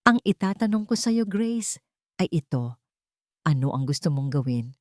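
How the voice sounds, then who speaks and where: A woman's voice: deep voice, big pitch swings, normal speed, a little mellow, no room sound, clean studio sound. A woman voicing a stern, powerful older patriarch in an audio drama, speaking in a firm, unemotional, commanding way. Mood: firm, grave.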